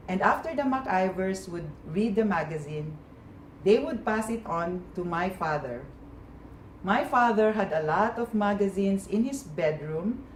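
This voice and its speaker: A woman from the Philippines calmly telling a personal story of her past. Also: on the low side. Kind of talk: personal story told to a group. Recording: roomy with faint noise.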